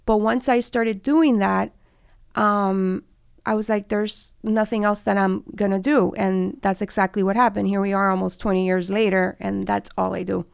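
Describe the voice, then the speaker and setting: A woman's voice: medium pitch, gravelly, clear, resonant tone, no echo, clean studio sound. A woman in her forties from Cuba, telling her own story conversationally as an interview guest. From born in Cuba, came to the US as a child. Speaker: guest, immigration lawyer and law firm partner.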